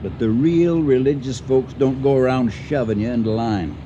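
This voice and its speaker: An actor playing a dry, skeptical older farmer husband in a radio drama, wry and understated in manner. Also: male voice, mid-range voice, normal speed, natural voice. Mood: dry, suspicious. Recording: noisy, dull old radio drama recording.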